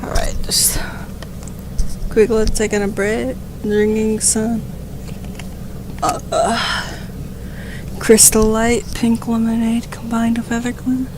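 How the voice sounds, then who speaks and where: A slightly hoarse woman reading a political text aloud on a stream and breaking into rambling, profane, emphatic commentary into a desk microphone. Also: on the high side, flat delivery, slow pace, pauses often, noisy background. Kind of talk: casual read-aloud with commentary.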